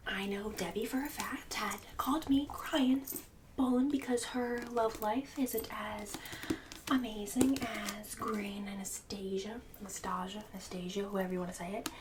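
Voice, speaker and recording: A woman's voice: medium pitch, fairly even intonation, normal speed, distant mic, noisy background, close to an everyday voice. A woman playing a snobbish, mean suburban book club hostess in an ASMR roleplay, partly whispered, with condescending, fake-polite emphasis. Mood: smug gossip.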